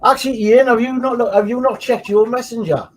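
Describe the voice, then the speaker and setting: A man's voice: extremely high pitch, steady tone, brisk. A man with a Geordie accent on a hobby panel who talks in long, rambling explanations. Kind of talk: casual chat on a live stream.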